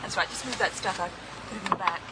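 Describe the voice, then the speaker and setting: A woman playing a breezy, bossy but caring friend in a naturalistic radio drama, brisk and teasing. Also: medium pitch, heavy background noise, natural voice. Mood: bright, insistent. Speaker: actor in a radio thriller.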